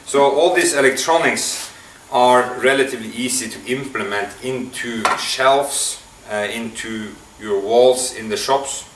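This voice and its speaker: A man's voice: medium pitch, a little slow, fairly bright tone. Man demonstrating in an echoey room. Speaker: product demonstrator. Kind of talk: unscripted product demonstration. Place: indoor room at a table. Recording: echoey room, distant mic, faint noise.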